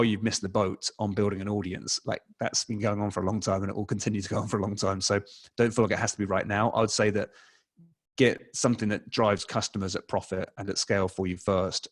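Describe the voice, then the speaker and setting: An English man in his forties talks loosely on a video call, circling his points with ums and self-corrections. His voice sits a little low, picked up by a desk mic in a small home room. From England. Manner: rambling, many fillers and restarts. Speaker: guest, advertising expert. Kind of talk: casual interview answer.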